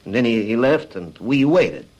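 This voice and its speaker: Halting, cowed confessing suspect. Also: male voice, medium pitch, very animated, darkish tone, muffled recording. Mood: halting confession. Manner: halting, earnest, repetitive. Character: cowed, eager-to-confess male arson suspect, Italian immigrant. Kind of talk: classic radio crime drama acting.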